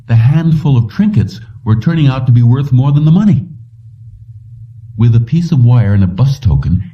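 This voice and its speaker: A man reading a science fiction story aloud as scripted audiobook narration. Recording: distant, dull low-fidelity audio.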